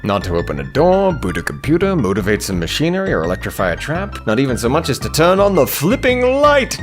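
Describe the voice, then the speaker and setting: A man narrates a video essay with very lively, swooping intonation. His delivery is theatrical and sardonic, heavy on wry rhetorical questions. Music plays faintly behind him. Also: mid-range voice, fast talker. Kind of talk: scripted humorous critical commentary on video games. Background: background music.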